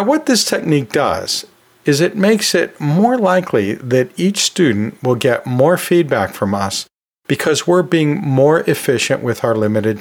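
Male educator, podcast monologue, highly expressive pitch swings, conversational, emphatic, self-deprecating, medium register. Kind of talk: podcast monologue of teaching tips.

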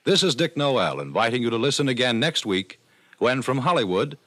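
A man's voice: medium pitch, big pitch swings, normal speed, a bit bright, no room sound. An American man making a scripted sign-off as a 1950s radio announcer.

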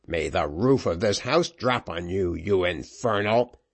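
A man voicing a hot-tempered, villainous naval officer in a storybook reading, blustering and seething with drawn-out words. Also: deep voice, expressive, heavily acted. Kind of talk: dramatised audiobook character voice.

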